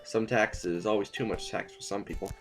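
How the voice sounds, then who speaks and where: A man's voice: fairly low pitch, fairly even intonation, brisk, faint music behind, lightly acted. A man playing an affable, hesitant sovereign who rambles politely in a science-fiction audio drama. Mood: musing, casual. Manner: chatty, hesitant, eager to smooth things over. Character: affable, rambling male sovereign of a space fiefdom.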